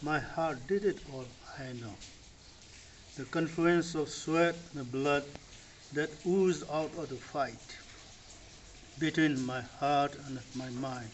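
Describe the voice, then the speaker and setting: Slow man reciting poetry. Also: a little high, roomy sound, slight background noise, low-quality recording. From Manipur, India. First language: Manipuri. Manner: deliberate, repeats each stanza twice. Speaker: poet.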